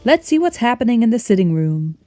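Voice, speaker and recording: A woman's voice: fairly high pitch, big pitch swings, brisk, dark tone, faint music behind. A woman reading scripted narration for a student comedy radio play in a wry, chatty storytelling way.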